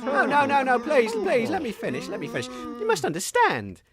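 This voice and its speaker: Halting, widely swooping radio interviewer. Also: sits high, wildly swooping pitch, a crowd in the background. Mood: awkward, tactful. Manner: halting, tactful. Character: awkward, hesitant radio interviewer. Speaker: show host. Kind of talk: comedy audio drama interview.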